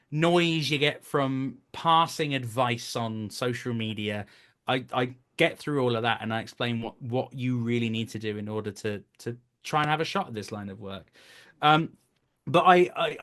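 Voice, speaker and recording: Male coach, thirties, medium pitch, conversational and earnest, explanatory interview delivery. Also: a bit bright. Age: 30s. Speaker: voice actor, director and coach.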